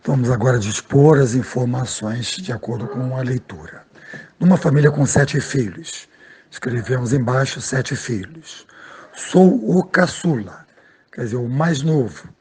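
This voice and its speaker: A man's voice: mid-range voice, a little slow, a little mellow, husky. A man, a math teacher patiently explaining a lesson step by step and often checking in with his listeners.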